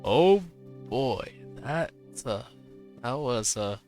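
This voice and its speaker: A male streamer reading a visual novel's dialogue aloud, his voice tired and strained, halting and repeating words between laughs. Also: mid-range voice, animated, darkish tone, faint music behind. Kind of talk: reading game dialogue aloud, then casual chat.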